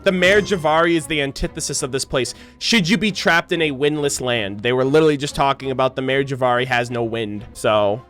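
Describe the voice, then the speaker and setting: A man's voice: medium pitch, fairly quick, few pauses, fairly bright tone. A young man who streams games, giving casual, slangy live commentary and reacting aloud. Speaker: gaming streamer.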